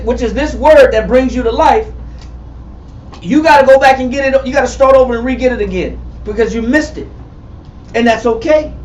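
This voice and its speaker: A man in his forties teaching a Bible study, emphatic and repetitive, calling on readers and building to shouts. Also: extremely high pitch, slight background noise. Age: 40s. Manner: emphatic, repetitive, calls for readings, rising to shouts. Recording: far-off echoey room, muffled and lo-fi.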